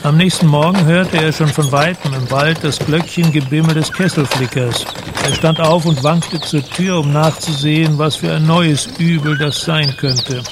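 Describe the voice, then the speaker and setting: An actor as a grave storyteller, delivering scripted literary narration for a radio drama. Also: male voice, a little high, fairly even intonation, normal speed, very noisy, natural voice.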